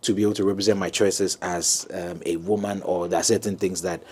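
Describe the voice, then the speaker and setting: Low male guest consultant; halting, filler-heavy speech that turns emphatic. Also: husky. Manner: hesitant, many ums, grows animated and emphatic. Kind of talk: panel talk show discussion.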